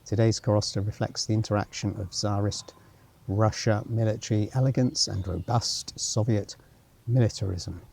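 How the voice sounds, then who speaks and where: A man's voice: fairly low pitch, fairly even intonation, moderate pace, gravelly, darkish tone, a little hiss or hum. A man giving an unscripted travel commentary as he walks, musing to himself with wry asides and sometimes reading signs aloud. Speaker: travel vlogger. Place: outdoors, walking around a former military town.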